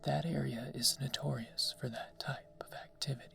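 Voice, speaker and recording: A narrator reading a scary story in a hushed ASMR whisper, slow and deliberate. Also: mid-range voice, steady tone, gravelly. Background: background music.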